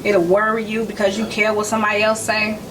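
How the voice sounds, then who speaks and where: A woman in her forties chatting casually as a podcast guest, talking about her work in short relaxed phrases. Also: mid-range voice, fast talker. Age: 40s. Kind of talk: casual chat. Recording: noisy, distant mic.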